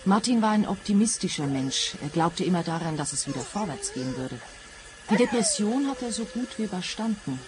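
A woman's voice: mid-range voice, lively intonation, brisk, soft background music, lightly acted. An actress playing a composed young widowed mother who speaks matter-of-factly in a radio play.

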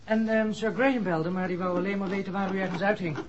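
A man's voice: high-pitched, steady tone, fast, lightly acted. Deferential, chatty male servant played by an actor, dull and faintly noisy vintage radio sound. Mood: chatty, eager. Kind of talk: 1960s radio drama acting. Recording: muffled, noisy old radio recording.

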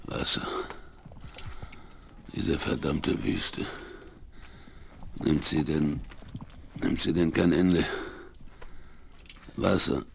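An actor playing a grizzled, stubborn old frontiersman in a German western radio play, with a rough, folksy, emphatic delivery. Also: very deep voice, fairly even intonation, very slow, long pauses, slight background noise, full character voice. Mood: exhausted, despairing. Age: older. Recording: 1970s vinyl radio play.

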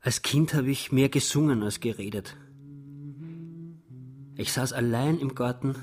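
A man's voice: medium pitch, measured pace, close to an everyday voice. An actor playing a timid, childlike man in his forties in a radio play, speaking hesitantly and plaintively. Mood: wistful, brooding. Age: 40s. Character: timid, childlike younger brother turned hapless robber. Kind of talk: radio play dialogue and monologue.

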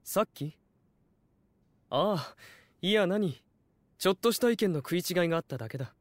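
A man's voice: sits high, expressive, moderate pace, no echo. An actor playing a self-assured, doting nobleman with a formal, matter-of-fact manner in an audio drama. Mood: nonchalant. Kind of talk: Japanese audio drama acting.

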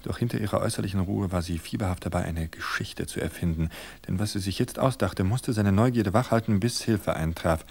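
A man reading a suspense story aloud in a steady, measured narration. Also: sits low, vocal fry. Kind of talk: scripted audiobook narration.

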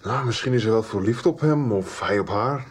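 A man's voice: on the low side, expressive, moderate pace, husky. A male actor playing a blunt, teasing young man in a radio soap, talking colloquially.

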